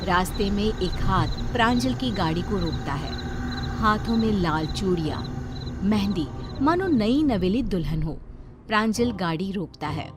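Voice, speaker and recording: A woman's voice: medium pitch, lively intonation, a little slow, background noise. A woman reading a scripted horror story as its narrator.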